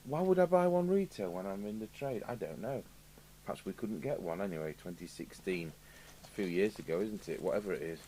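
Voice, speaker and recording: A man's voice: low-pitched, lively intonation, brisk. A man with an English accent giving a casual, rambling workbench commentary as he thinks aloud, like a radio repair technician. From United Kingdom. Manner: rambling, musing aloud to himself. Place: indoor electronics repair workbench. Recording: clear background noise with electrical hum.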